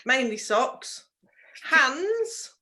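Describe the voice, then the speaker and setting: A woman's voice: high voice, very animated, extremely slow, bright, forceful tone. A woman chatting as a podcast co-host, earnest and giggly, rambling through her explanations. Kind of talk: casual chat.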